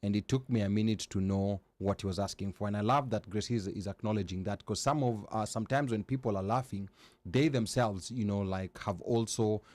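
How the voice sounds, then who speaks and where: A man with a fairly low voice hosts a live talk show. He reads viewer comments aloud in a loose, chatty way, with plenty of "you know" and "like". The sound is dry and close. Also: fairly quick, darkish tone, dry recording. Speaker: co-host and producer.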